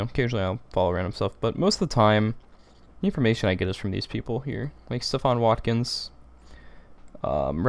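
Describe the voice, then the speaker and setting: A teenage boy with a deep voice, hosting a casual livestream and chatting with viewers, rambling and repeating himself with many fillers. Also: clear, resonant tone. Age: teens. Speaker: livestream host. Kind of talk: casual livestream chat reading comments.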